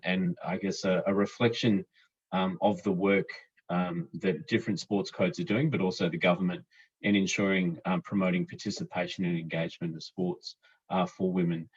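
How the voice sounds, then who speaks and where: A man moderates an online panel, hesitant and full of ums as he sets things up. His voice is very deep. The sound is dull and a little lo-fi, as on a video call. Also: husky. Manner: hesitant, many ums and restarts.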